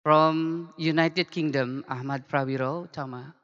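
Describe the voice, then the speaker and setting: A man's voice: fairly high pitch, steady tone, a little slow, fairly bright tone. A man moderating a session, making brief formal announcements. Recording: echoey hall, muffled.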